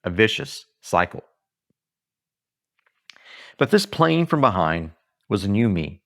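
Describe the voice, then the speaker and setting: A man reading his own book aloud in an audiobook style, earnest and deliberate, with dramatic emphasis and pauses. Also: fairly low pitch, big pitch swings, fairly bright tone. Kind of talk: audiobook-style scripted narration.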